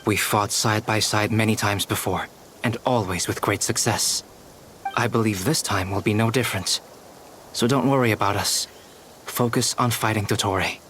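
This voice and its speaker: A man playing a calm, reassuring ally in a video game cutscene, speaking evenly over music. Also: fairly low pitch, moderate pace, slight background noise, lightly acted. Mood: steady. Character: steady, reassuring male ally. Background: background music.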